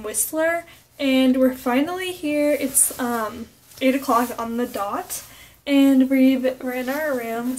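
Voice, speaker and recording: Young woman, high voice, slow casual vlog chat, hesitant, indoors on a handheld camera. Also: slight background noise. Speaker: vlogger. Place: indoors, bedroom and hotel suite.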